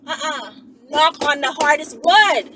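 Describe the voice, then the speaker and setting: A woman's voice: high voice, expressive, measured pace, distant mic. A woman rambling casually in an emphatic, profane storytelling manner, like a vlogger talking to followers. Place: inside a car while driving. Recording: noisy car, voice away from mic.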